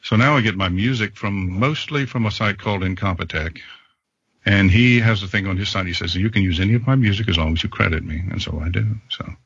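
A man with a deep, extremely husky voice recounts things casually as an interview guest. The recording is dry and a little dull. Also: brisk, fairly bright tone, no echo. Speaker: podcast guest, fan fiction reader. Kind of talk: casual anecdotal interview answer.

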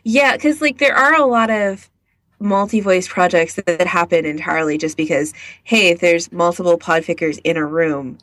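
Low-voiced, informal woman on a podcast. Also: normal speed, a bit bright, dry recording. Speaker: podcast co-host. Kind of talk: casual podcast chat.

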